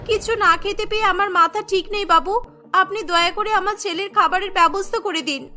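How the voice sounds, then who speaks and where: Humble, needy village mother, cartoon, woman acting: imploring, bright tone, extremely high pitch, music behind. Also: fairly quick, full character voice. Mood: desperate. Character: grateful, desperate poor village mother.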